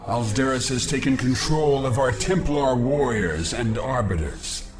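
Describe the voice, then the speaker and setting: An actor playing an idealistic young alien templar in a video game, earnest and deliberate. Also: male voice, mid-range voice, close to an everyday voice. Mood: somber. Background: game music.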